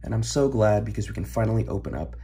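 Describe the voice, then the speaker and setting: Young guy, hoarse from being sick, low gravelly voice, rambly chill chat with lots of ums. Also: fast. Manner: relaxed, rambling, with frequent ums and throat clearing. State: hoarse, recovering from illness. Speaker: card-collecting channel host. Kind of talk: casual pack-opening chat. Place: home room, filmed over a desk.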